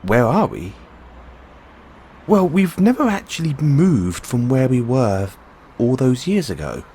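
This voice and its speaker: Man playing an excitable mad scientist, wildly swooping pitch. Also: takes it slowly, a little hiss or hum. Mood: uneasy wonder. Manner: theatrical, geeky, hesitant musing between outbursts. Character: excitable eccentric mad scientist boyfriend with time machine. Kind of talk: audio roleplay acting, addressed to listener.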